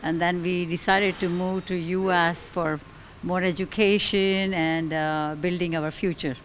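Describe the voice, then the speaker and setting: A woman from Gujarat, India, a physician, answering calmly and conversationally with small hesitations into a handheld mic outdoors. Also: on the low side, measured pace, a little hiss or hum. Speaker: interview guest, physician. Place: outdoors on the porch of a large unfinished house. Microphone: handheld interviewer mic.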